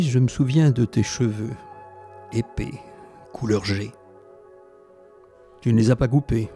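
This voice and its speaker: A soft-edged, mellow male narrator in an audio drama, an actor as a former Resistance fighter. He looks back on the war gravely and tenderly, in measured phrases, over faint music. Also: mid-range voice. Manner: reflective, measured storytelling. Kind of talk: scripted first-person narration in an audio drama.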